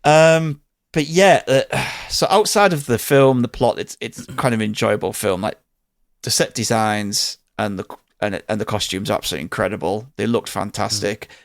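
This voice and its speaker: Deeper-voiced British man chatting enthusiastically. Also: moderate pace, gravelly, dry recording, studio quality. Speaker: podcast host reviewing films. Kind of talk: casual chat, film review.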